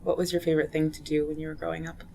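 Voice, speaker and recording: A woman interviewer gently asking questions in an oral history interview. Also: deep voice, flat delivery, very fast, creaky. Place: community center room.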